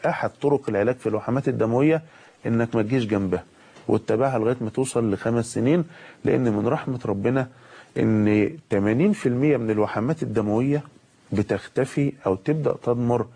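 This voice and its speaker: A man with an Egyptian accent, a pediatric surgeon with a cold, giving a calm medical explanation with pauses and fillers. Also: mid-range voice, creaky, slightly rough. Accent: Egyptian Arabic. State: has a cold.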